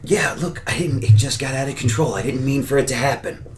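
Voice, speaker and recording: A man's voice: mid-range voice, fairly quick, natural voice. A man playing a sheepish, foul-mouthed teenage son in a tabletop game, apologetic and wry. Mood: sheepish pleading. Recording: roomy with faint noise.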